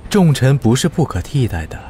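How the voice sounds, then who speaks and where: A man plays an earnest, solemn retainer in a Chinese costume radio drama. The voice is dark and mid-pitched, with lively rises and falls over faint music. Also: fairly quick, close to an everyday voice. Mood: earnest, resolute. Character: earnest, dutiful male retainer to a lord.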